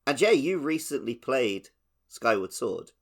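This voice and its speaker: A man's voice: mid-range voice, big pitch swings, unhurried, vocal fry, slightly rough. A man co-hosting a podcast, talking casually about a video game. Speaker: podcast co-host.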